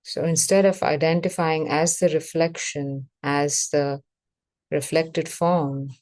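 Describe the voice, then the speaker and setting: A woman leading a guided meditation as facilitator, speaking in measured short phrases with pauses between them. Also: low voice, steady tone, darkish tone, dry recording.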